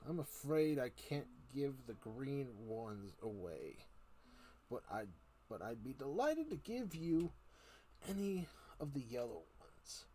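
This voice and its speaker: A man's voice: medium pitch, big pitch swings, slow pace, mellow, slightly rough, full character voice, poor audio. A man voicing a sly, creepy old uncle from a storybook, falsely genial and coaxing. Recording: noticeable background noise.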